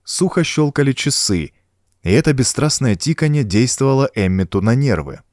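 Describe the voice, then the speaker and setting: A man narrating a horror story as an audiobook, reading the scripted prose in a suspenseful, dramatic and expressive storytelling manner. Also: medium pitch, moderate pace, dry recording, clean studio sound.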